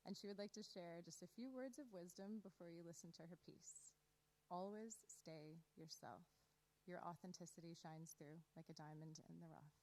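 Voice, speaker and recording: A woman's voice: on the low side, steady tone, moderate pace, darkish tone, a little hiss or hum, poor audio. A woman hosting a live showcase, introducing radio plays in a presenter's style, partly reading from notes.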